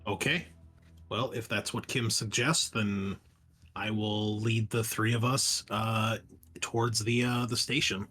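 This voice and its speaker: A man playing a tabletop game, chatting casually and thinking his moves out loud. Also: fairly low pitch, steady tone, a little slow, husky, soft background music.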